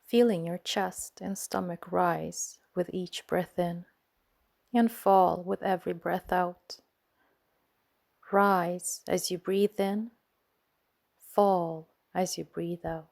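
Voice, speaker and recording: Female meditation guide, gentle and soothing, lowish pitch with a creaky edge, for bedtime relaxation narration. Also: steady tone. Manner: soothing, gentle, unhurried, pausing between short phrases.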